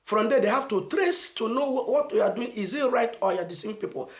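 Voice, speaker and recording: A Nigerian man giving an emphatic motivational message as a channel host, asking rhetorical questions and stressing phrases slowly. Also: extremely high pitch, expressive, echoey room. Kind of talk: motivational preaching to camera.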